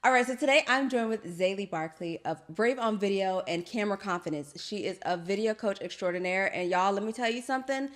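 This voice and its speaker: A woman hosting a podcast, chatting casually and affirmingly, with lively colloquial phrasing. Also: mid-range voice, fairly quick, few pauses. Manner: lively, colloquial, laughs often, affirming fillers. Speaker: podcast host. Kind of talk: casual interview chat.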